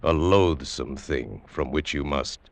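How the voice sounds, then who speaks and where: A man announcing and setting the scene of a vintage radio drama in an ominous, deliberate way. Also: rumbling low, creaky, very noisy. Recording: old radio broadcast over music.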